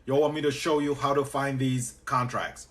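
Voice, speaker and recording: A man with a Trinidadian accent, a business coach teaching on a live stream and chatting back to viewers with quick repeated acknowledgements. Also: medium pitch, fairly even intonation, fairly bright tone. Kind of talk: live-stream teaching while answering chat.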